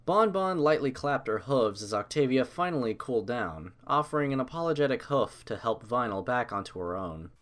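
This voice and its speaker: A man's voice: medium pitch, lively intonation, moderate pace, few pauses, fairly bright tone. A man reading scripted story narration.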